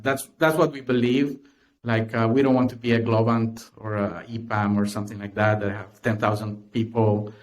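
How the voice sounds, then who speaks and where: A man's voice: lowish voice, level tone, moderate pace. A man from Honduras with a Honduran Spanish accent, a podcast guest who talks with many fillers and restarts. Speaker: guest, tech company cofounder. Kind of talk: podcast interview.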